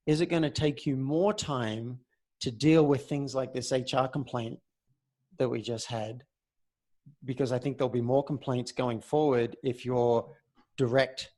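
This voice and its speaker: A man speaking as a coach in a conversational roleplay, measured and deliberate, often pausing mid-phrase. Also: mid-range voice, darkish tone. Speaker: host, communication coach.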